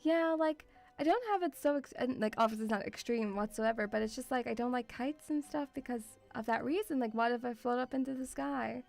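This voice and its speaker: A woman with a mixed European accent touched by Scottish, chatting casually as a livestream host in an excitable, rambling, sweary way. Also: a little high, fairly quick, vocal fry, soft background music. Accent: mixed European with Scottish influence. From Lithuanian-Russian background, partly grew up in Scotland.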